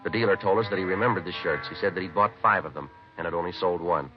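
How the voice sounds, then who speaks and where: An actor playing a terse, businesslike American homicide detective, deadpan and matter-of-fact, in a 1950s radio police drama. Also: male voice, low-pitched, quick pace, lo-fi sound, close to an everyday voice. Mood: deadpan narration. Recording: vintage radio recording, band-limited, music behind.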